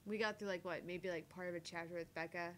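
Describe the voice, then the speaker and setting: A woman's voice: fairly low pitch, fairly even intonation, quick pace, a little hiss or hum. A woman co-hosting a gaming livestream, chatting casually and reading game text aloud.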